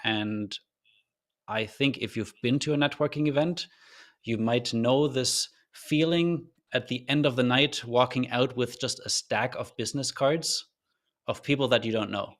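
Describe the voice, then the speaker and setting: A man who grew up in Germany speaks with a faint German accent. He tells his story as a podcast guest, earnest and reflective, pausing and restarting as he thinks. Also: mid-range voice, fairly bright tone. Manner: thoughtful, earnest, loose with fillers and restarts.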